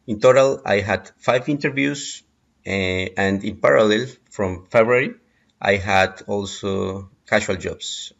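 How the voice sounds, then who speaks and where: A man in his thirties from Colombia, a native Spanish speaker with a Colombian accent, giving a prepared talk on his job search and often pausing with "eh". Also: low voice, creaky, dull audio. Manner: measured, with frequent "eh" hesitations. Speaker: migrant sharing job search advice.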